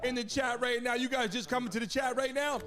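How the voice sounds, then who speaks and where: A man hosting an excited live sports reaction stream, exclaiming and shouting out viewers as they join. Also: extremely high pitch, quick pace. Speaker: livestream host. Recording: music playing loudly behind the voice.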